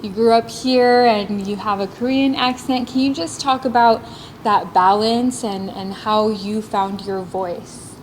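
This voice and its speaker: A woman's voice: mid-range voice, steady tone, unhurried, slight background noise, low-quality recording. A woman interviewing a guest in a casual, encouraging way.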